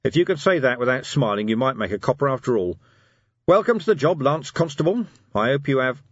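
A man plays a stern, sardonic commander in a fantasy storybook. His voice is husky and animated, with terse, commanding phrasing. It is recorded very dry. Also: mid-range voice, fairly quick, no echo, lo-fi sound, pitched above the performer's own voice.

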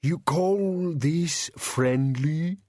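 A man's voice: on the high side, very slow, darkish tone, no echo, clean studio sound, voice pushed up. A man voicing a cowardly, whining bogeyman who pleads, moans and mumbles in an audiobook. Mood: puzzled, indignant. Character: whining, cowardly bogeyman monster, easily puzzled. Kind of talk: audiobook character voice.

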